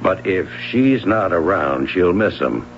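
A very deep-voiced American coach speaks in a measured, resigned way in an old radio drama. He is dry and matter-of-fact. The sound is dull, with some noise and hum. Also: lively intonation, vocal fry, heavily acted. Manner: measured, dry, plain-spoken. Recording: muffled radio recording with hum.

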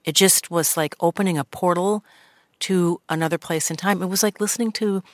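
Woman, low voice, reflective storyteller, dry studio mic. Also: steady tone, brisk, no room sound. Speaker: journalist and podcast host, as guest. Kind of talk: radio interview conversation. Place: radio studio. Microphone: studio microphone.

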